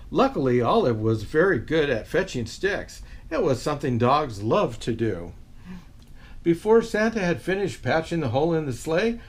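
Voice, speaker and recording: A man reading a children's picture book aloud as a storyteller, expressive and unhurried. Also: a little high.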